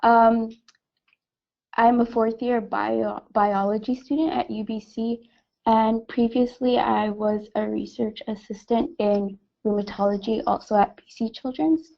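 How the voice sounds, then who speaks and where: A woman's voice: on the high side, steady tone, unhurried, creaky. A young woman from Canada, a university student, giving a plain self-introduction over lo-fi webinar audio. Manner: plain, with some fillers. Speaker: project assistant, university student.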